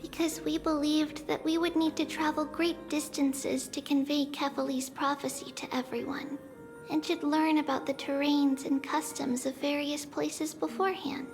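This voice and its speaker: Little immortal girl, video game character, wistful and gentle, very high voice, slight creak, reflective. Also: little pitch movement. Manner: unhurried, reflective, speaks of herself as "we". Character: small childlike demigod girl, wistful, gentle, older than she looks. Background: background music.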